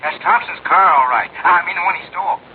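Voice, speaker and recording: An actor playing an eager, deferential police officer reporting excitedly through a telephone filter in a 1950s radio drama. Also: male voice, sits high, fast. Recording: tinny phone line on noisy old radio.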